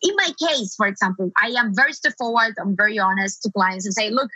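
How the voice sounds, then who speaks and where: A woman's voice: mid-range voice, brisk, pushed and bright, breathy edge, no echo, low-quality recording. A woman from Latin America with a Spanish accent, a native Spanish speaker, explaining things emphatically as an interview guest. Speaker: guest SEO consultant. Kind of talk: conversational interview answers.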